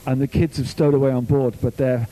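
Chatty youth minister preaching. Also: male voice, mid-range voice, steady tone, fairly quick, mellow, poor audio. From Wales. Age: older. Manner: chatty, jokey storytelling. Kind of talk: informal sermon.

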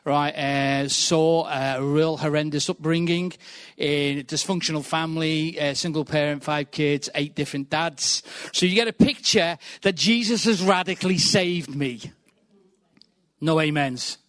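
A middle-aged man with a Liverpool accent preaching a sermon in a quick, chatty, joking way, often saying "right". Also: a little high, a bit bright, dry recording. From England. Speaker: guest preacher.

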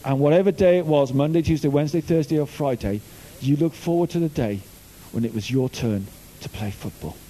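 A man's voice: a little high, mellow, low-quality recording. A man from Wales, a youth minister giving a casual, joking sermon full of stories. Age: older. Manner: chatty, jokey storytelling. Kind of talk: informal sermon.

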